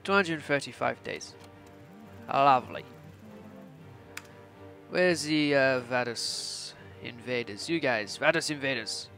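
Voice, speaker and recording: A man's voice: mid-range voice, slow pace, vocal fry, long pauses, pushed and bright, faint music behind. Theatrical young man with put-on Austrian accent. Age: 20s. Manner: theatrical, mock-imperious. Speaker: gaming channel host. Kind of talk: playful strategy game let's play commentary.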